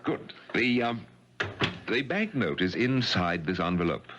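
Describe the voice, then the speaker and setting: An actor playing a skeptical, blustering rich gentleman in a 1970s radio mystery, with a challenging, commanding manner. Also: low voice, big pitch swings, measured pace. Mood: brisk, testing. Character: blustering, skeptical wealthy gentleman, Sir Charles. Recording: dull, noisy vintage radio recording.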